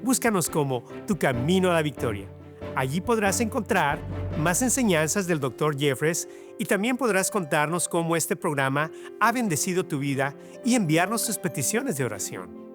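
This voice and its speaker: A man's voice: on the high side, big pitch swings, normal speed. A man reading scripted promotional narration as the announcer of a religious program. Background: music.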